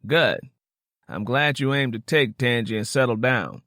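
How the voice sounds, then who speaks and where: A man's voice: mid-range voice, animated, unhurried, a bit bright, no room sound, studio quality, pitched below the performer's own voice. A man voicing a blunt, worried old grandmother with an American Southern accent, scolding in an audiobook. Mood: approving, then scolding. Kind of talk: audiobook character dialogue.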